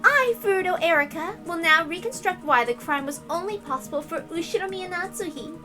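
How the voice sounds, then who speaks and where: A woman voicing a bossy, gleeful girl detective from a visual novel, theatrical, shrill and imperious. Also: expressive, brisk, music in the background. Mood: accusing. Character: bossy, gleeful young girl detective making a grand accusation. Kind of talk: animated visual novel character reading.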